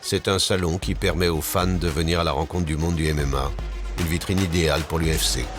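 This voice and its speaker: A man's voice: rumbling low, steady tone, brisk. A man reading scripted documentary narration as the narrator. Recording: narration over clearly audible music. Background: music.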